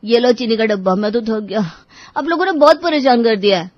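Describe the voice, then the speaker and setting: A woman voicing a whiny, reluctant young boy who grumbles about errands in an animated moral story. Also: a little high, brisk, no echo, lo-fi sound, lightly acted. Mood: indignant. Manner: grumbling, protesting.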